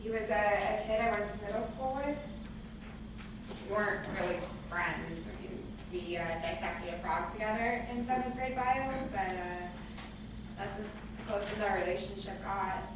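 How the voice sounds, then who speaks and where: A woman's voice: mid-range voice, normal speed, natural voice. An actress playing an anxious, stubborn young woman in a staged play reading, emphatic and rambling as she frets about catastrophe. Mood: subdued, anguished. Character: anxious young woman, dramatic, stubborn, afraid to leave her room. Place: live staged reading in a reverberant room. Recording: echoey room from far off, noisy.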